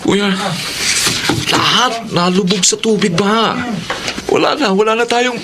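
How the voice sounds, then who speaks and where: A man's voice: high voice, measured pace, very noisy. A man playing a dismayed young brother in a Filipino radio drama.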